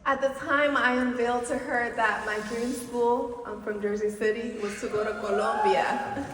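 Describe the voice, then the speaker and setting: Dominican-born woman giving a big speech, slow and measured, highish voice, far off in a big echoey hall. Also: fairly even intonation, noisy background. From Dominican Republic. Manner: slow, deliberate, rhetorical speech with emphatic pauses. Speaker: keynote speaker. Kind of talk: commencement speech. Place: large auditorium stage. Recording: distant, echoey hall with long reverb.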